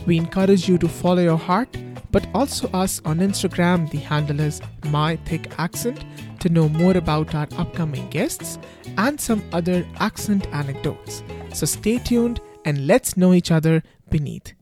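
A man's voice: a little high, moderate pace, music in the background. A young man with an Indian accent, hosting a podcast and reading a scripted intro in a wry, conversational way. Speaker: podcast host.

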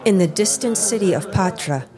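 A woman's voice: mid-range voice, expressive, moderate pace, music in the background. A woman narrating a scripted religious story in a storytelling style.

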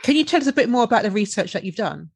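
A woman's voice: medium pitch, lively intonation, very fast, creaky, breathy edge, no echo, studio quality. A woman hosting a podcast, reading a formal introduction and politely asking her guests questions. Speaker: podcast host. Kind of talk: scripted introduction and interview questions.

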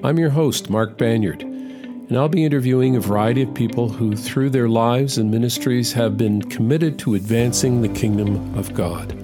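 A man's voice: mid-range voice, normal speed, music in the background. A man hosting a faith podcast interview, asking questions and giving encouraging replies. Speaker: podcast host.

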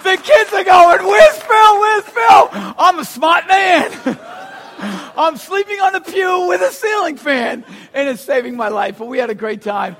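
A man with a Boston accent preaching a sermon, telling stories with gasps and acted-out lines and shouting for emphasis. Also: very high-pitched, unhurried. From Boston, USA. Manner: animated storyteller, acts out gasps and quotes, shouts for emphasis. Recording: long hall reverb.

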